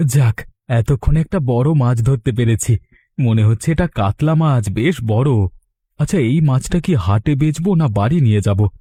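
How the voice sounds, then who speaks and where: A man playing a contented, simple village fisherman thinking out loud in a cartoon. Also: medium pitch, animated, normal speed, breathy edge, dry recording. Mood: pleased, musing. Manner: musing aloud. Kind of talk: Bengali cartoon monologue.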